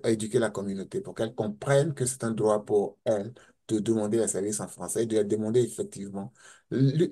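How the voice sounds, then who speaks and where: A man's voice: on the low side, dark tone. A man asking a hesitant question from the floor, with many fillers. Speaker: audience member asking question.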